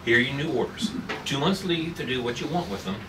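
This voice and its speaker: A man reading a measured, matter-of-fact space agency chief at a script table read. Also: mid-range voice, lively intonation, close to an everyday voice. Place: room at a group table read. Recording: echoey noisy room, far from mic.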